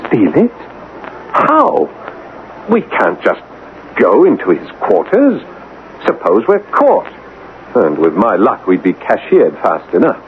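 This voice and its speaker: Thin, noisy radio sound frames an anxious army officer. His pitch swings widely as he questions and frets with indignant, lovelorn earnestness. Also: huge pitch swings, slow pace, long pauses, full character voice. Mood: worried, baffled. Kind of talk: radio drama acting. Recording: noisy, narrow-band old radio recording.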